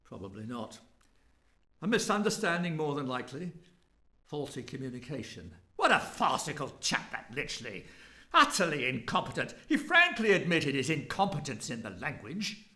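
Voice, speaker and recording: An actor playing a fussy, supercilious space officer in a radio play, with a clipped, wry manner. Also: male voice, a little high, wildly swooping pitch, normal speed, bright, forceful tone, heavily acted. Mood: scornful.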